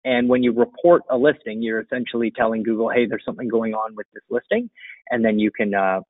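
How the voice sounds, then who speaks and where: A man's voice: on the low side, vocal fry, dry recording. A middle-aged man answering questions informally as a webinar host, explaining things conversationally at his computer. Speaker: webinar host and marketing coach. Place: indoor room, seated at a computer. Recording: dull, dry lo-fi webinar audio.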